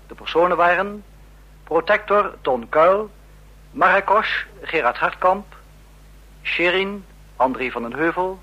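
A man announcing a radio drama's closing credits in a measured, formal manner. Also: sits high, expressive, bright, forceful tone. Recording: dull, lo-fi old radio recording.

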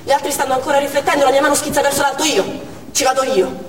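Actress as female doctor and mother, anxious yet determined, higher voice, theatrical monologue, noisy reverberant hall. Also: steady tone, fast talker, distant mic, lightly acted. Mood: tense. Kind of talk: dramatic stage monologue in present tense. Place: on stage in a theatre.

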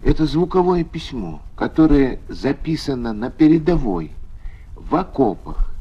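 A man's voice: mid-range voice, big pitch swings, unhurried, warm tone, muffled recording, a little hiss or hum. A man delivers solemn, dramatic scripted documentary narration for children, with emphatic rhetorical phrasing.

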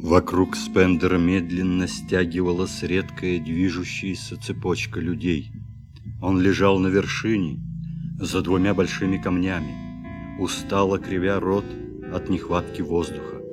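A man giving scripted narration for a radio play in an even, steady storytelling voice. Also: low voice, unhurried, over music.

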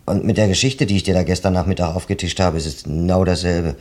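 Teasing male friend, jokey, lowish voice, radio drama. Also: quick pace, a little mellow. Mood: friendly. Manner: joking, then apologetic. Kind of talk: radio crime drama acting.

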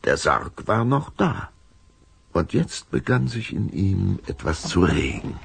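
A man with a gravelly voice narrating a scripted audio drama for children. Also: deep voice, big pitch swings, takes it slowly, a little hiss or hum.

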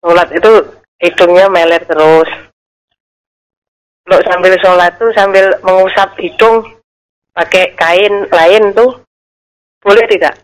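A woman's voice: on the low side, fairly even intonation, unhurried, pauses often, low-quality recording. Female caller, hesitant, restarts her sentences, everyday speaking voice.

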